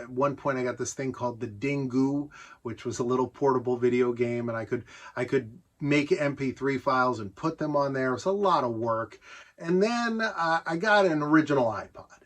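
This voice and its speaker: A man's voice: mid-range voice, fairly bright tone. A middle-aged man with an American accent giving a casual, nostalgic show-and-tell, rambling along conversationally and with enthusiasm. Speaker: presenter showing a collection of vintage gadgets.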